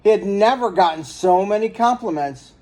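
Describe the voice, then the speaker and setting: High-voiced man reading a children's story. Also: animated, moderate pace, a little mellow. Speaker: storyteller reading a picture book. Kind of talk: children's story read-aloud.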